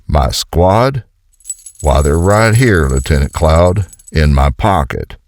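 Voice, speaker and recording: An actor playing a gruff, sardonic American colonel, a hardened wisecracking commando, in an audio comic. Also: male voice, a bass voice, expressive, slow, a little mellow, no echo, full character voice. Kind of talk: dramatic audio comic dialogue.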